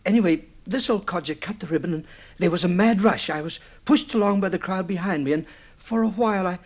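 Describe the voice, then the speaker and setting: An actor playing an excitable, obsessive newlywed man in an old-time radio drama, halting and stammering as he tells his story. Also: sits high. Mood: aggrieved, reminiscing. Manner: halting, stammering repeats, swinging into boastful excitement.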